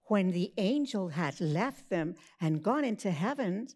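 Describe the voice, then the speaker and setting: A woman narrating a scripted Christmas story for children, expressive, with drawn-out emphasis. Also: mid-range voice.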